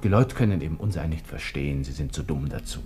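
A haughty young Austrian lieutenant in a 1960s radio play, low in pitch, with music playing behind. He broods and mutters to himself, touchy about his honour. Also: expressive, quick pace, darkish tone. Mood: brooding, offended. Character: arrogant young Austrian army lieutenant, thin-skinned, brooding. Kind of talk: 1960s German radio drama interior monologue.

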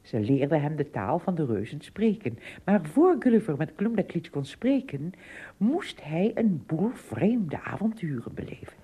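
A woman narrating an adventure tale in a radio play, with expressive, dramatic phrasing and a husky, breathy voice. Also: low-pitched, unhurried, exaggerated voice. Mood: fond storytelling. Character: female storyteller of an adventure tale.

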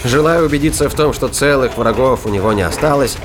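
A man's voice: medium pitch, quick pace, noisy background. A man reading scripted narration for a science fiction thriller audio drama. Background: music and sound effects.

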